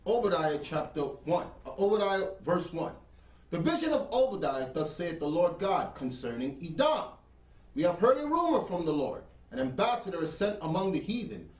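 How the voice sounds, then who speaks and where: Man reading scripture aloud, measured. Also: high voice, big pitch swings, recorded from a distance. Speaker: scripture reader. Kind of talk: reading King James verses aloud. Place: studio set with dark backdrop. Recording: roomy sound from off-mic.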